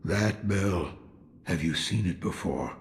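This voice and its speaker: An actor playing a weary, brooding old sculptor of Buddhas in a video game, speaking in a deliberate, cryptic way. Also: male voice, low-pitched, creaky, exaggerated voice. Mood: grave, brooding. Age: older. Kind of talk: scripted video game dialogue.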